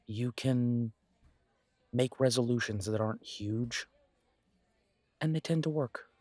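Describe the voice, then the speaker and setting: A man playing a supportive, self-deprecating best friend in a casual roleplay audio drama, speaking in an earnest, encouraging, conversational way with pauses. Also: medium pitch, darkish tone, dry recording, natural voice. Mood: reassuring, earnest.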